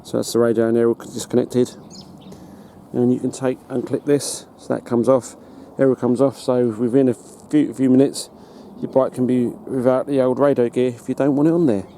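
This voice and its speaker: A British man giving a casual hands-on demonstration as a hobbyist, in a halting, matter-of-fact way. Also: mid-range voice, flat delivery, dark tone. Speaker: hobbyist presenter. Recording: noticeable background noise.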